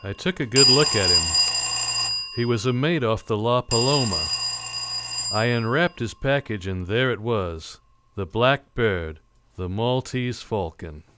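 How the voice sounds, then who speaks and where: An actor playing a wry, weary private detective in an old-time radio drama, dry and terse. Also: male voice, medium pitch, expressive, a little slow, heavy background noise, close to an everyday voice. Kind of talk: old-time radio drama, first-person noir narration.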